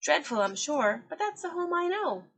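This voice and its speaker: A woman voicing a female kelp gull mother who squawks in a shrill, fussy way. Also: sing-song, moderate pace, creaky, a bit bright, exaggerated voice. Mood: kindly, fussy.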